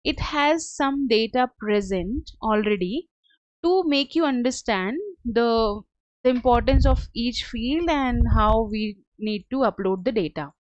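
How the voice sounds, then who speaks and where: A woman working as a software trainer, giving a step-by-step screen tutorial in a hesitant, halting way. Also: fairly high pitch, no room sound. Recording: dull lo-fi close dry audio.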